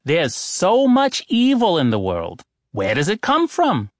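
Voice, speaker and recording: Guy doing a cranky know-it-all old oracle, pitched up, lectures like he's the smartest one in the room. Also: huge pitch swings, a little slow, no echo, clean studio sound, exaggerated voice. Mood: preachy. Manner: lofty, exasperated, lecturing. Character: pompous, irritable old male oracle.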